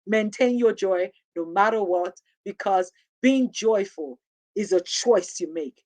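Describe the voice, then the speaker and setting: A woman hosting a motivational talk show, her voice husky and hoarse from a sore throat, speaking in an upbeat, encouraging, preacher-like way. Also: mid-range voice, unhurried, a little mellow. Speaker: host.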